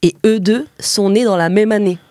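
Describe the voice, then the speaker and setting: A woman in her twenties from Paris, a comedian guest chatting in a podcast interview, telling stories in a relaxed way with many fillers. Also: medium pitch, moderate pace, no echo, clean studio sound. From Paris, France. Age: 20s.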